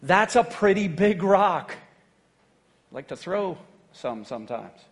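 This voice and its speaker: Male pastor, high-pitched, chatty joking sermon delivery. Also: very animated, slow pace, vocal fry. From United States. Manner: conversational, joking, asks the congregation "right?".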